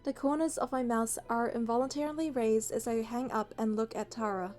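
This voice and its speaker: A woman's voice: a little high, fairly even intonation, fairly quick, a little mellow, faint music behind, close to an everyday voice. A woman voicing a young female hunter and bodyguard, narrating a romance game in an earnest, lightly teasing way. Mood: fond, playful. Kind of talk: scripted first-person game narration and dialogue.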